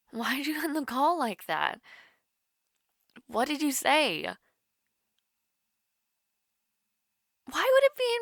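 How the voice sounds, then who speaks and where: A woman playing a nervous, smitten young woman in an intimate romantic audio roleplay, halting and flustered. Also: high-pitched, a bit bright, dry recording, clean studio sound, exaggerated voice. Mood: bewildered, insistent. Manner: halting, flustered, breathy sighs and whispers.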